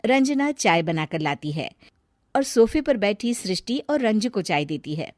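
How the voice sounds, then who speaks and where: A woman's voice: medium pitch, big pitch swings, unhurried, darkish tone, dry recording, studio quality. A woman narrating a scripted family tale in storybook style.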